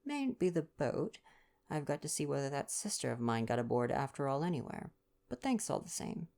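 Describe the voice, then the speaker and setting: A man voicing a cheeky, breezy young English woman in a storybook, with slangy, brisk, flippant talk. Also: mid-range voice, sing-song, creaky, a little mellow.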